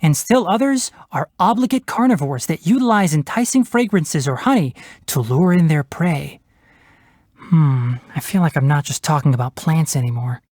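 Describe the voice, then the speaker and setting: A young fox-eared forest ranger from a video game, speaks with a highly animated melody. He is precise and bookish, with a wry, matter-of-fact edge. The sound is dry and free of any room. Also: no room sound. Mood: wry, sarcastic. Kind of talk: video game character voice lines.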